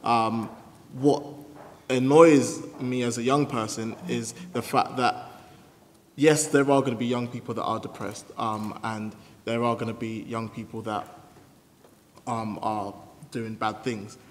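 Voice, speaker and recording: A man's voice: medium pitch, fairly even intonation, unhurried. A man in his twenties speaking on a youth panel, upbeat with frequent ums. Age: 20s. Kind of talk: live panel discussion. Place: conference room. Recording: hall reverb with faint noise.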